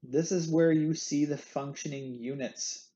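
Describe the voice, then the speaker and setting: A man's voice: fairly high pitch, steady tone, unhurried, warm tone, highs cut off, a little hiss or hum. A man teaching anatomy over recorded slides, a playful, jokey explainer who leans on candy analogies, recorded on a computer mic at his desk. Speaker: anatomy instructor. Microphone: screen recording with webcam.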